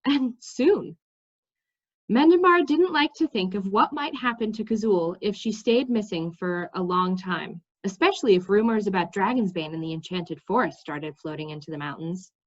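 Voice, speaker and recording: A woman reading a children's fantasy story aloud in an expressive, informal way. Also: mid-range voice. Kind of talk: story read-aloud with asides to listeners. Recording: slightly dull, lo-fi sound.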